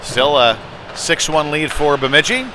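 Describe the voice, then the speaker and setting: A man's voice: a little high, unhurried. A man calling a youth-league style hockey game live, as a play-by-play commentator following the puck. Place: indoor ice rink during a game. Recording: noisy arena, clatter and hum behind.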